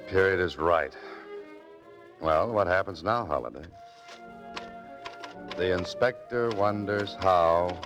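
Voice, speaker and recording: A man playing a wry, adventurous writer-sleuth with understated delivery in 1940s radio acting style. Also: low-pitched. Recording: muffled lo-fi 1940s radio recording.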